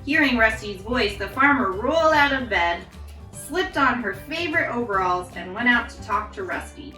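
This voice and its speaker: A woman reads a picture book aloud to children, encouraging and expressive. Her bright, high voice moves up and down a lot, recorded in a roomy space away from the mic with music behind. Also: moderate pace, recorded from a distance. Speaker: storytime host reading picture books. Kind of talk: animated read-aloud to children.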